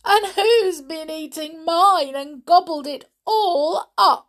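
A woman voicing a tearful little baby bear from a storybook, squeaky and whiny. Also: slow, fairly bright tone, heavily acted.

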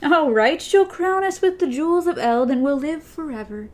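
Rich: A sullen teenage gunslinger, speaking grimly with a dry, sardonic bite, as a woman reads him aloud. His voice sits fairly high. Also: lively intonation, normal speed, slight background noise. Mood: bitter.

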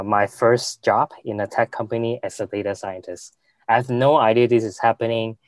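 A young man from Hong Kong, answering interview questions with many pauses, fillers and restarts. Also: lowish voice, no room sound. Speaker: guest, venture capital investor.

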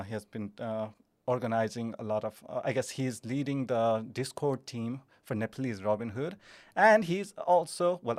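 A man hosting a podcast interview, introducing his guest in a hesitant way with many pauses. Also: medium pitch, no echo. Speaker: podcast host.

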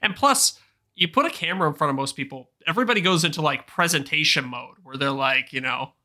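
A man co-hosting a podcast, chatting in an easygoing, agreeable way into a voice mic on a boom arm. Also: lively intonation, fast talker, pushed and bright. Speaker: podcast co-host. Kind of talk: casual podcast chat.

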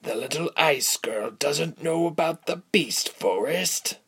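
A man voicing a sinister commander in an audiobook, coarse and breathy, speaking slowly with quiet, cold derision. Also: lowish voice, full character voice. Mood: mocking, threatening. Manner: slow, drawling, quiet and derisive. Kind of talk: audiobook character voice.

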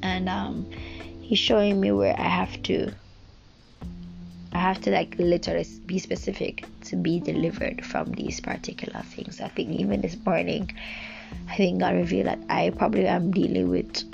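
Jamaican woman, low and croaky, preaching in an informal, rambling style over background music. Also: flat delivery, normal speed, darkish tone. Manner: earnest, rambling, with frequent fillers. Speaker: devotional speaker. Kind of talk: informal devotional talk. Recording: dull lo-fi recording with music behind.

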